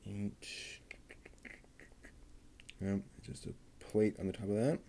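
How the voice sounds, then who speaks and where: Low gravelly guy muttering through a model build, slow and easygoing. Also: steady tone, mellow, slight background noise. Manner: muttering to himself, slow and methodical. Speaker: hobbyist building a model kit. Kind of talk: casual running commentary.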